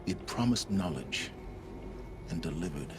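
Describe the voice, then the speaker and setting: An actor playing a secretive, scheming male scholar in a video game, speaking gravely and cryptically. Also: deep voice, expressive, unhurried, over loud music.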